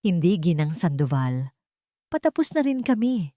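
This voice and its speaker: A woman's voice: on the low side, moderate pace, dry recording, clean studio sound. A woman voicing a rigid, formal, emotionless school principal in an audio story. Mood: hurried. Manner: flat, formal.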